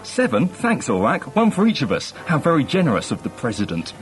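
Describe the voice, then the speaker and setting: A man playing a witty, chirpy little crewman in a sci-fi skit, excitable and full of questions. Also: medium pitch, fairly quick, pitched above the performer's own voice. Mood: chirpy, alarmed.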